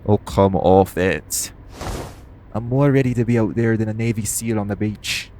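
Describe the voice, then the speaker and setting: Guy as a sweary cocky hitman, lowish voice, audio rp, car noise behind him. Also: moderate pace, natural voice. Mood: cocky, teasing. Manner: brash, sweary. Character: cocky, foul-mouthed male assassin, irritable and teasing. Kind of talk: audio roleplay acting. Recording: clear vehicle noise behind voice. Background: vehicle ride ambience.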